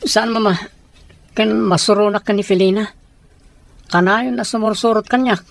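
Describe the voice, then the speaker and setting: Casting: actress as earnest, trusting grown child, mid-range pitch, audio drama delivery. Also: female voice, fairly even intonation, moderate pace, vocal fry, no room sound, lightly acted. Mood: earnest, reassuring. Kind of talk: Ilocano audio drama acting.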